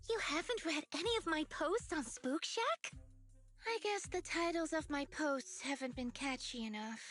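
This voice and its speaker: Super high bubbly researcher girl from a game, really animated and eager, monster nerd. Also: exaggerated voice. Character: bubbly, excitable young woman researcher obsessed with monsters. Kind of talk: video game voice acting.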